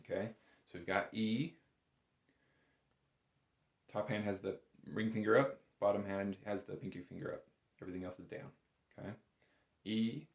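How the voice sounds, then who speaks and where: A man giving an informal, step-by-step music lesson in a patient, encouraging manner. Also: fairly low pitch. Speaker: bagpipe instructor. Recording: roomy, echoey indoor sound.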